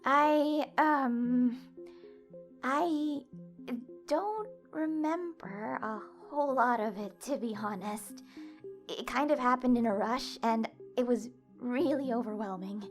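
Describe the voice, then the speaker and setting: Casting: actress as earnest young woman for audio drama, higher voice, nervous and hesitant, music underneath. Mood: flustered. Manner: halting, flustered, hesitant. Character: anxious young woman, tired, earnest gamer.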